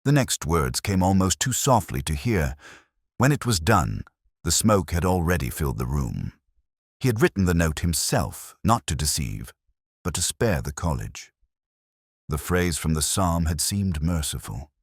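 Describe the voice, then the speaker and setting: A man playing a weary, melancholy detective who narrates his case in a measured, solemn, reflective way, in the manner of a scripted radio drama. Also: rumbling low, big pitch swings, breathy edge, dry recording, studio quality, heavily acted. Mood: somber, reflective.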